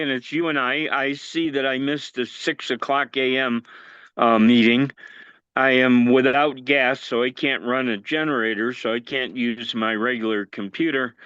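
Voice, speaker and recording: A man's voice: medium pitch, fairly bright tone, clear, resonant tone, no echo, dull audio. A man talking in a wry, matter-of-fact way as host of a live-streamed show. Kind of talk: casual live-stream chat.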